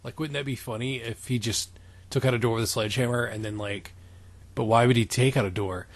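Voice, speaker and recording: A man's voice: medium pitch, steady tone, a little fast, dry recording. A man co-hosting a comedic reading of fan fiction, switching between reading aloud and casual commentary. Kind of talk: amused dramatic reading with casual riffing.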